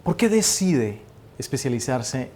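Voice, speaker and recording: A man's voice: medium pitch, big pitch swings, measured pace, a little mellow, husky. A man hosting a podcast interview, asking questions in an enthusiastic, emphatic way. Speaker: podcast host.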